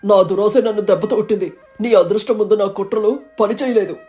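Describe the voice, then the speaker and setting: A jealous, grasping villager from a storybook tale, voiced by a woman, crying out in self-pity. His voice is mellow and dark yet pushed loud. Faint music plays underneath. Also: mid-range voice, fairly even intonation, quick pace, close to an everyday voice. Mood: remorseful. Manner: wailing, self-pitying. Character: greedy jealous village man, remorseful.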